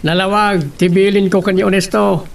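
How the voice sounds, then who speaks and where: Actor as high, creaky stern father. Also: fairly even intonation, normal speed, a little hiss or hum. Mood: stern, reproachful. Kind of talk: Ilocano radio drama acting. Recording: distant mic over music bed.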